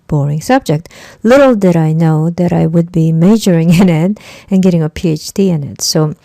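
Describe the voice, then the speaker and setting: A woman's voice: fairly low pitch, warm tone, dry recording. A woman whose first language is Korean, giving a conversational online class lecture as an instructor and stressing points by repeating words.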